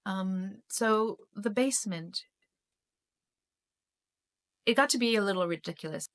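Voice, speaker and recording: A middle-aged woman and host of her own channel, telling a personal story to camera, emotional and halting, with frequent restarts. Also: mid-range voice, fairly bright tone. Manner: emotional, halting, many restarts and self-interruptions. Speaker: spiritual YouTube channel host.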